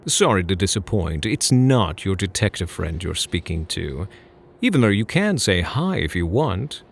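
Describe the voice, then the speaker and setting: Man playing a low, gravelly flirtatious phantom thief. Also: sing-song, normal speed, exaggerated voice. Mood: taunting, mock-polite. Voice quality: husky, gravelly. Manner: teasing, mock-polite, theatrical. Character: smug, flirtatious, playful phantom thief teasing a captured detective. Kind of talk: ASMR roleplay acting.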